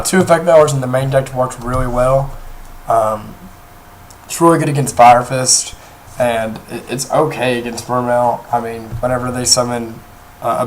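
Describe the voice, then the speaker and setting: A young man with a hoarse voice, talking casually through a card game deck, rambling with many pauses and restarts. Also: mid-range voice, slight background noise, rough recording. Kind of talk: casual deck profile talk. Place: indoors at a table, off camera.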